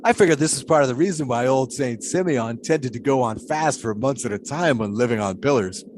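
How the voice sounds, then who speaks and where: An actor playing a pious, philosophical 1920s flagpole sitter who talks in a folksy, preachy way. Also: male voice, medium pitch, fairly quick, darkish tone, slight background noise, lightly acted. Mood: musing. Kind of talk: audio drama acting.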